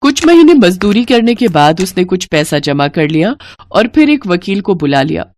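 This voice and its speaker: A woman's voice: fairly low pitch, very animated, moderate pace, a little mellow, dry recording, studio quality. A woman storyteller reading scripted narration for a moral storybook tale.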